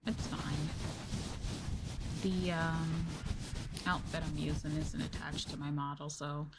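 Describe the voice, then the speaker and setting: Slow, low-pitched, soft-spoken woman streamer. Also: steady tone, creaky, heavy background noise. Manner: soft, gentle talking. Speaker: VTuber streamer hosting a live stream. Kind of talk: soft-spoken ASMR casual chat.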